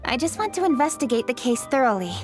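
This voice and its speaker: A woman's voice: sits high, very animated, fast, over music. A woman playing an earnest, determined young investigator in a video game, composed and formal.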